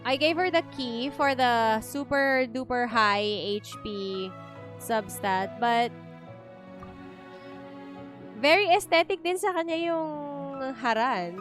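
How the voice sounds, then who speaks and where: A woman's voice: high-pitched, slow pace, pauses often, a bit bright, faint music behind. A woman with a Filipino accent giving casual, chatty live commentary as she streams a game, thinking aloud. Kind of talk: casual live gameplay commentary in mixed Tagalog and English.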